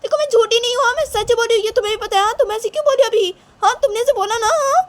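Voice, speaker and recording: A woman's voice: extremely high pitch, rapid-fire, pitched above the performer's own voice. A woman voicing a pleading young girl who protests insistently and whines in a story. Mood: upset, pleading. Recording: clear background noise.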